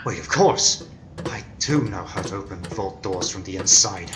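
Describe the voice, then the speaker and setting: An actor playing an arrogant, sneering ancient criminal in a theatrical 1940s-style radio drama. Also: male voice, sits low, expressive, normal speed. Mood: scheming. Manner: theatrical, contemptuous. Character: arrogant, sneering ancient criminal, ten thousand years old. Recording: echoey, noisy amateur home recording.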